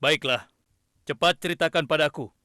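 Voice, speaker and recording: Man playing a stern, high-voiced cartoon king. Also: no echo, clean studio sound. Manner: authoritative, deliberate, given to pondering hums. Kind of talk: children's cartoon dubbing. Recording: loud music behind the voice.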